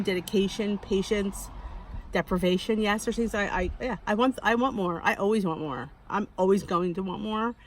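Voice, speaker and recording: A woman in her fifties talks straight to her viewers in a candid, unpolished way. She rambles and restarts, pokes fun at herself, and keeps a mid-range voice throughout. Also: mid-range voice. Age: 50s. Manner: candid, rambling, self-deprecating, with frequent restarts. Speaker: weight-loss vlogger. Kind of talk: casual talking-to-camera monologue.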